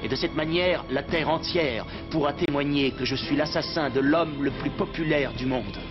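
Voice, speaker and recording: A man's voice: on the high side, moderate pace, lo-fi sound, music in the background, lightly acted. A man playing a heroic cartoon rebel leader, earnest, decisive and dramatic. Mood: urgent. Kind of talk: French cartoon dub acting.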